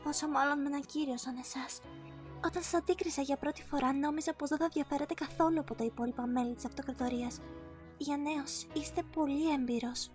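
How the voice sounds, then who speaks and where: A woman playing a gentle, sheltered young princess in an anime fan dub, speaking politely, formally and earnestly. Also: high voice, fairly even intonation, natural voice. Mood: shy admiration. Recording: music behind the voice.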